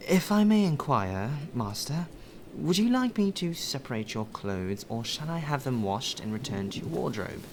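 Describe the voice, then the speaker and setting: A man acting as a polite, deferential male butler in a soft, formal ASMR audio roleplay. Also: medium pitch, sing-song, a little hiss or hum. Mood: obliging. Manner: formal, deferential, measured, sighing.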